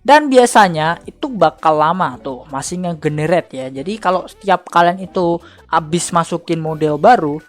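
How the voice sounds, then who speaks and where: A young man presenting a casual software tutorial in an informal, slangy, chatty way. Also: on the high side, expressive, moderate pace. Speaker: tutorial host. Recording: faint music under voice. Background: faint background music.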